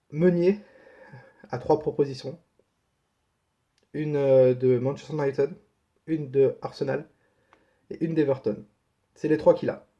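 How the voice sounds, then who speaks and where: Casting: male football vlogger, dark relaxed tone with a cold, colloquial delivery, slightly muffled lo-fi sound. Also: mid-range voice. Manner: colloquial, repeats points for emphasis. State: has a cold. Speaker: host of a football transfer news channel. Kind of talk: casual spoken news update. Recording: dull, lo-fi sound.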